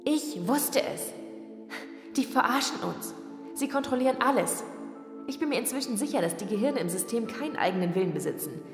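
A woman's voice: a little high, animated, a little fast. A woman playing a driven, defiant young rebel in a science-fiction audio drama, speaking with earnest intensity. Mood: angry. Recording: echoey hall reverb, music underneath. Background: music.

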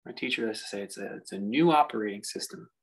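Male meditation guide, gravelly and husky, contemplative delivery with lots of space between phrases. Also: on the low side, low-quality recording. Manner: unhurried, reflective delivery with long pauses. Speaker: meditation teacher.

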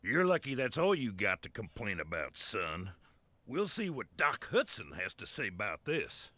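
A man playing a gruff, folksy, fatherly older police car in an animated video game. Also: lowish voice, moderate pace, no room sound, heavily acted. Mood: stern, irritated. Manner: folksy, stern.

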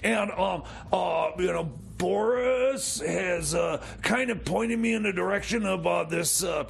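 A man playing an excitable, paranoid mechanic who stammers and repeats himself in a comedy radio play. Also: sits high, measured pace, gravelly, slightly rough, full character voice. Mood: flustered, conspiratorial. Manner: flustered, stammering, repetitive, insistent. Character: excitable rambling paranoid male time machine mechanic. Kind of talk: improvised comedy radio theater.

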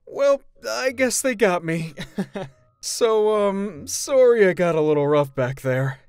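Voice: medium pitch, expressive, slow, darkish tone, clear, resonant tone, dry recording. An actor playing a cheerful, smug young male villain with a glib, chatty manner in an anime comic dub. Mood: breezily apologetic.

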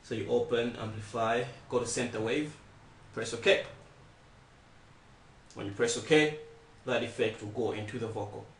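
A man's voice: medium pitch, fairly even intonation, a little slow, pauses often, fairly bright tone, slight background noise. Young male tutorial presenter, informal and repetitive, recorded at a distance in an untreated, echoey room. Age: young adult. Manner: informal, repeats instructions. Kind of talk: casual step-by-step software tutorial. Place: small indoor room, not soundproof.

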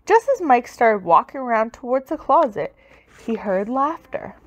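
A woman reading a children's story aloud with expressive, dramatic, emphatic delivery. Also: on the high side, moderate pace. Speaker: storybook reader.

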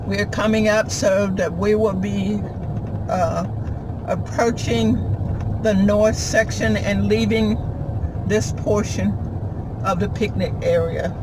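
A woman vlogger narrating an unscripted driving tour in a halting, deliberate, informative way from inside a moving car. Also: mid-range voice, steady tone. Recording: loud car and road noise.

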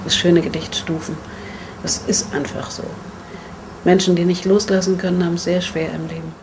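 A woman's voice: fairly low pitch, level tone, moderate pace, noisy background. An older woman talking reflectively about her life. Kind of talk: reflective personal talk.

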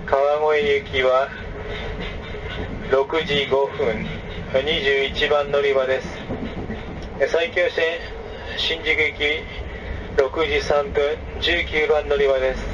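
A male train conductor speaks in a formal, measured way over the PA of an overnight sleeper train, with a fairly high voice. The sound is dull and heard from a distance, recorded off the public address speaker in 2006, with steady train noise running underneath. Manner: formal, measured, with frequent "e" fillers. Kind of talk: onboard train announcements. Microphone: train public address system, recorded from a passenger seat.

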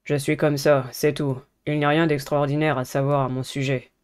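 A man voicing an aloof, sardonic teenage schoolboy in a dating game, with a cool, flat delivery. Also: a little high, moderate pace, smooth, lightly acted. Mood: reproachful.

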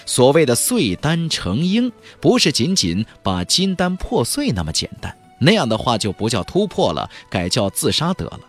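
Husky, very animated male audiobook narrator. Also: mid-range voice, moderate pace, hardly any pauses, soft background music. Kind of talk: dramatic scripted fantasy narration.

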